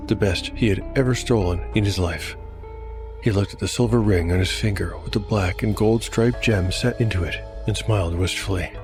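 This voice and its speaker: Low-voiced man narrating dark fantasy over music. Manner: measured, storytelling. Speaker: narrator and host.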